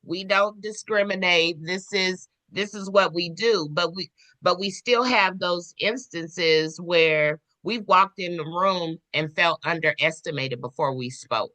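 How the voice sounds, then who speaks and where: A woman in a casual podcast discussion who speaks in a measured way, asking questions and telling stories from work. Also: fairly low pitch, bright, forceful tone, rough recording. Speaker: podcast panelist.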